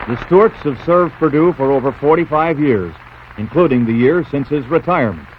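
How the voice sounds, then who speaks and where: A man's voice: on the high side, lively intonation, a little fast, narrow, tinny audio, background noise. A man with an American accent reading scripted narration as a newsreel narrator, on a 1970s soundtrack.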